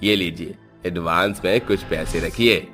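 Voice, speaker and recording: A man's voice: low-pitched, unhurried, bright, forceful tone. Man acting a pompous, theatrical sham magician. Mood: ingratiating. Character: sham male magician, boastful, arrogant and cowardly. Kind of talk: Hindi horror storybook character voice. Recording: music behind.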